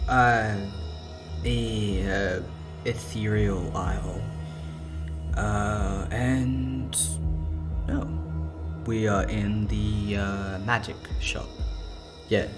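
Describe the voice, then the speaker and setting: A man's voice: fairly low pitch, fairly even intonation, slow. A man acting a hesitant young man with fractured memories in an audio drama, stammering and thinking aloud. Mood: dazed, unsure. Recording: music under the voice.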